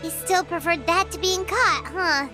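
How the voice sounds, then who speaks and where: Tiny squeaky excitable fairy. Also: female voice, measured pace, faint music behind, exaggerated voice. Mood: curious, blunt. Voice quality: squeaky, childlike. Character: tiny childlike fairy companion, excitable, blunt, chatty. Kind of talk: video game voice acting.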